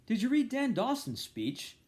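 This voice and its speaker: A man voicing a pompous, brisk Edwardian gentleman from a novel, exclaiming his lines. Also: extremely high pitch, wildly swooping pitch, voice pushed up, heavily acted. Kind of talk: storybook character voice.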